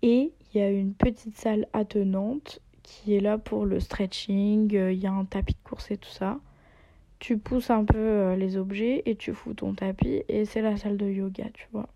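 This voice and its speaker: A woman's voice: medium pitch, fairly even intonation, moderate pace, gravelly, dark tone. A young French-speaking woman who teaches yoga, chatting casually with lots of fillers and often dropping to a near whisper. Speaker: yoga teacher and podcast host. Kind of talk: casual spoken travel diary. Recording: slightly dull lo-fi sound.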